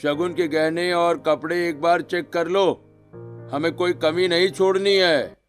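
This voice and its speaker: A man voicing a fussy middle-aged family father who gives instructions in an animated story. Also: fairly high pitch, unhurried, faint music behind, lightly acted. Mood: instructing. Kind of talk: Hindi animated story character voice.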